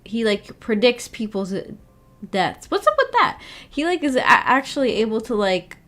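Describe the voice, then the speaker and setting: A woman's voice: on the high side, sing-song, normal speed, fairly bright tone. A woman hosting a livestream in a casual, rambling way, hesitant as she thinks aloud. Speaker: livestream host.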